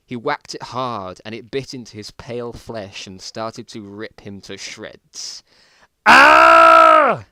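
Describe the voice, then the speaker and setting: A man's voice: on the low side, a little slow, few pauses, fairly bright tone, no room sound. A man reading a story aloud in a mock-dramatic style, amused and breaking off into mocking asides. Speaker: reader of own old story.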